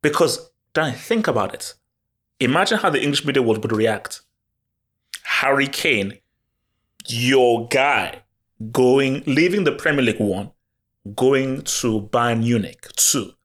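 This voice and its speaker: A man talks football on a casual podcast, excited and animated, with frequent repeated words and quick restarts as his thoughts pour out. His voice sits at an ordinary pitch for a man. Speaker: podcast co-host.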